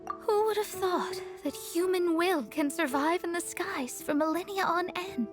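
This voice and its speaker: A woman's voice: very high-pitched, measured pace. An actress playing an articulate, bookish young woman in a video game, inquisitive, poised and slightly formal. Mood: musing, impressed. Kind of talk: video game character dialogue. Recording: music behind the voice. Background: music.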